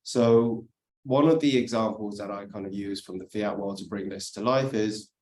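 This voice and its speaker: A man's voice: fairly low pitch, normal speed, a little mellow, echoey room, a little hiss or hum. A man from the UK with a British accent, giving an explanatory conference presentation. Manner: explanatory, frequent "let's say" and "for instance". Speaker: conference speaker. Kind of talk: presentation talk.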